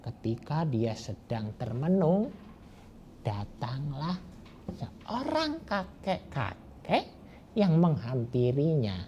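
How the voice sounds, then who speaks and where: A man telling a folk tale to children as a grandfather, slowly and with many pauses and fillers. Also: mid-range voice, sing-song, dark tone, muffled recording, a little hiss or hum. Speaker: storyteller as a grandfather. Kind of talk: oral storytelling to children.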